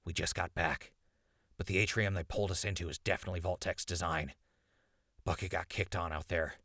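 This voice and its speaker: An actor playing a captured radio host in a post-apocalyptic audio drama, urgent and stubbornly hopeful, with a gravelly, husky voice. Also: male voice, deep voice, steady tone, a little fast, pushed and bright, dry recording, studio quality, full character voice. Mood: grim explaining.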